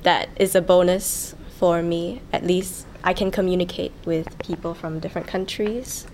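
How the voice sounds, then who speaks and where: Slow, halting young woman. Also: lowish voice, flat delivery, a little mellow, slight background noise. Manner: slow and halting, with many "um" pauses. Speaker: student interviewer and interviewee. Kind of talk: casual interview answers.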